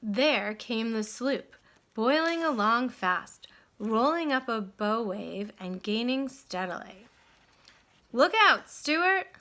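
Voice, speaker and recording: Bright-voiced woman reading a storybook, unhurried. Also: on the high side. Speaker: storybook reader. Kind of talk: expressive children's read-aloud narration.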